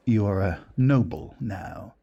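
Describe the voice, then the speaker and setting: A man plays a haughty aristocratic groom in an audio drama. His voice is fairly low and husky, and he speaks slowly with affronted formality. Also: mellow, lo-fi sound, heavily acted. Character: proud, arrogant young noble bridegroom, easily affronted.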